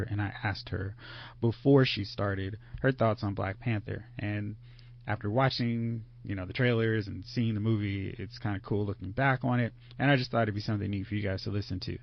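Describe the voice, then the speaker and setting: A man's voice: fairly low pitch, vocal fry. A man hosting a movie podcast, chatting casually and leading the panel with upbeat energy. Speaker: podcast host. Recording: dull lo-fi podcast audio.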